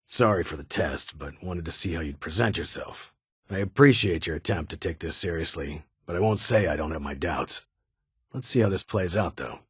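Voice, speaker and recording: Gruff ghoul army officer for a game, deep n super raspy, stern formal military talk, guarded. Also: male voice, moderate pace, no echo. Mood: measured, formal. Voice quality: raspy, gravelly. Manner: clipped, formal military delivery with dry authority. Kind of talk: video game character dialogue.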